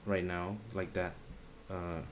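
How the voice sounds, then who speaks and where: A young man chatting casually on a live stream, pausing often to search for words and using a lot of slang. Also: low voice, level tone, a little hiss or hum.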